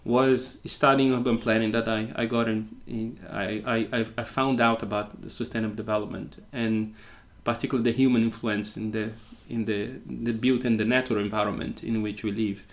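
A man's voice: on the low side, little pitch movement. Brazilian-born man, English, interview guest; reflective, hesitant delivery with frequent self-corrections. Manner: thoughtful, halting, many restarts. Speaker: guest, co-living entrepreneur. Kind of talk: conversational interview answers.

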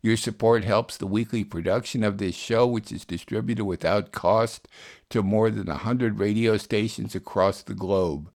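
A man's voice: lowish voice, fairly even intonation, moderate pace, darkish tone. A man reading scripted narration as the host of a radio programme.

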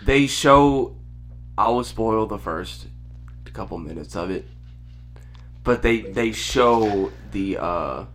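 A man's voice: on the low side, expressive, slow, pauses often, fairly bright tone, faint music behind. An American man as a podcast guest, chatting in profane slang with short, emphatic, trash-talking bursts. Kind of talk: casual, slangy, profane chat.

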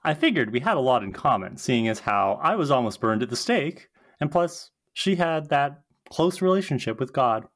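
A woman's voice: very deep voice, very animated, moderate pace, few pauses, heavily acted. A woman reading the part of an over-eager, earnest young college woman in a script table read.

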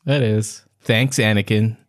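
A man's voice: fairly low pitch, fairly even intonation, unhurried, no echo, clean studio sound. A man co-hosting a podcast with casual fan banter, joking and slangy. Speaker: podcast co-host.

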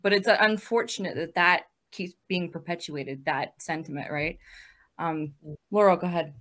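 A woman's voice: fairly low pitch, moderate pace, fairly bright tone. A woman in her thirties moderating a casual online panel, chatty and upbeat, laughing easily between questions. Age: 30s. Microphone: video call.

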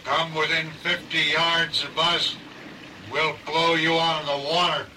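An actor playing a menacing armed boarder in a radio drama, hailing a ship with threatening calls. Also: male voice, a little high, flat delivery, bright, forceful tone. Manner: threatening, drawn-out calling. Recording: distant hail over noisy sea effects.

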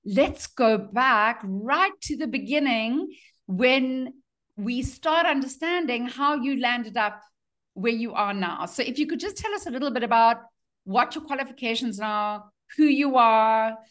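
A woman's voice: a little high, a little slow, fairly bright tone. A woman hosting a podcast interview in an enthusiastic, chatty way. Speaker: podcast host.

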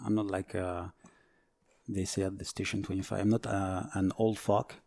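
A man in his forties from France with a French accent, a firefighter guest talking casually, halting and searching for words. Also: sits low, level tone, gravelly, a little mellow, no room sound. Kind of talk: casual interview chat.

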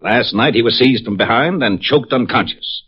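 An actor playing a pompous, hearty middle-aged ex-football alumnus in a 1950s radio drama, with an American accent and bombastic, solemn delivery. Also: male voice, medium pitch, normal speed, husky, natural voice. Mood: grave, indignant. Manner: bombastic, self-important, solemn declarations. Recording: muffled old radio recording.

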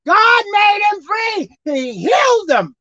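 Woman preacher, high voice jumping all over, dull dry recording. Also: takes it slowly, no room sound. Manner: animated, call-and-response preaching with acted-out dialogue. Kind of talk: sermon.

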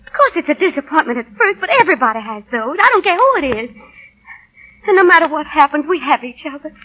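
An actress playing a devoted, encouraging young wife who can be firm, in 1940s radio drama style. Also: female voice, high voice, sing-song, quick pace, bright, forceful tone, exaggerated voice. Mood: tender, reassuring. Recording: muffled 1940 radio broadcast.

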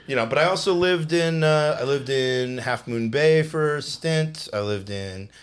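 A man's voice: mid-range voice, measured pace. A middle-aged man from the San Francisco Bay Area, a comedian guest on a podcast, talking bluntly and cursing a lot in casual banter. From San Francisco Bay Area, California. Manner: gruff, blunt, swears a lot.

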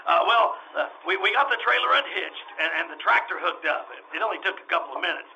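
A man's voice: high-pitched, lively intonation, fast talker, gravelly, bright, forceful tone, exaggerated voice, poor audio. A man playing a flustered, folksy animal-show owner in old-time radio drama style, stammering and rambling as he repeats himself. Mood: excited, rambling. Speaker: stage actor in radio troupe. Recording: faint noise, live radio re-enactment.

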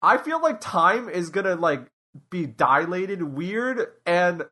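A man's voice: high voice, very animated, takes it slowly, pushed and bright. A man in his late twenties co-hosting a podcast, talking casually and rambling with many restarts and fillers. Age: 20s. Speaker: podcast co-host. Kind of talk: casual chat.

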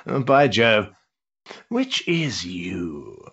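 An actor playing a worldly older duke, an amused, teasing schemer with an urbane, roguish manner in a period stage drama. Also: male voice, lowish voice, drawn-out words, husky, muffled recording, full character voice. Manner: urbane, roguish, patronising flattery with chuckles.

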